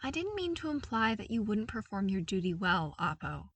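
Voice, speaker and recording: A woman voicing a shy, bewildered young man in a story, halting and flustered. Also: medium pitch, very animated, fairly bright tone, breathy edge, no room sound. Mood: flustered, apologetic.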